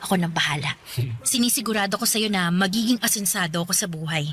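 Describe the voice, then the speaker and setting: Radio drama casting: ambitious, cheerful college sweetheart, Filipino accent, bright and emphatic delivery. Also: female voice, fairly low pitch, expressive, fast, a little hiss or hum. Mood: bubbly, determined. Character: cheerful, career-driven young female student, a sweetheart. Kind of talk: Tagalog radio drama acting.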